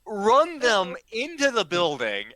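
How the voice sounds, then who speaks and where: Bright, animated man telling a story. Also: high voice. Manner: halting and stammering, acts out dialogue. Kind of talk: casual comic anecdote told to friends.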